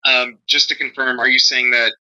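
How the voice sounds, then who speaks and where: A man's voice, strikingly bright and crisp-edged, speaks as a phone recruiter running a scripted screening. He is polite and formal, at a middling pitch, and the call audio is somewhat band-limited. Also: little pitch movement, normal speed, highs cut off.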